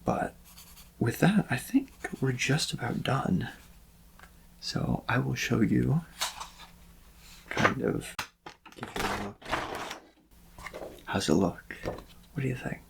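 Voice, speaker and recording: Soft-spoken man with heavy vocal fry. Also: mid-range voice, lively intonation, noisy background. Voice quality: soft, breathy-edged voice dropping into whispers and creaky fry. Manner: gentle, unhurried, reassuring, politely attentive. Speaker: ASMR roleplay performer as a portrait artist. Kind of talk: soft-spoken ASMR personal-attention roleplay.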